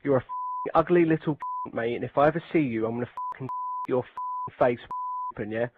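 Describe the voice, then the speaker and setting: A man's voice: medium pitch, fast talker, narrow, tinny audio, heavy background noise. A man ranting angrily at someone online, swearing and hurling insults. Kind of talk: profane angry rant.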